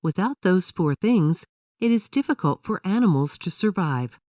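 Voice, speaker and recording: A woman narrating a scripted children's story in an expressive, instructive way. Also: fairly low pitch, moderate pace, dry recording, clean studio sound, recorded from a distance.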